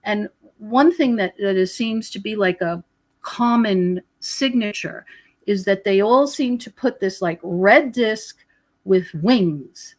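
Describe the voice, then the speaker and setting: A woman's voice: mid-range voice, takes it slowly, darkish tone. A woman speaking as an interview guest, explaining her research in a conversational way.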